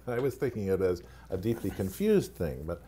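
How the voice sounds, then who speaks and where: Deep-voiced hesitant male questioner. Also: sing-song, moderate pace, mellow, slight background noise. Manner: hesitant, with fillers and light laughs. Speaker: interviewer asking questions. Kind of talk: casual conversation.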